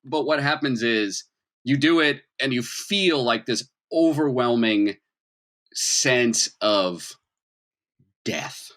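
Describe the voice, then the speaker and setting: Man, bright forceful voice, game master, improvised narration, conversational, explanatory. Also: mid-range voice, lively intonation, slow.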